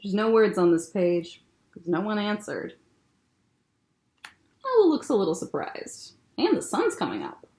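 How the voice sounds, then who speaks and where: Woman librarian, story time; unhurried, animated, picture-book warmth. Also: medium pitch. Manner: gentle, expressive, comments on the pictures. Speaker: children's librarian. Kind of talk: children's story time read-aloud.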